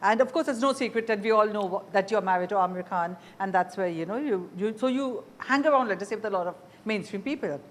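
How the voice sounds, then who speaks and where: A woman's voice: medium pitch, fast, hardly any pauses. A woman hosting a conversational interview. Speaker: interview show host.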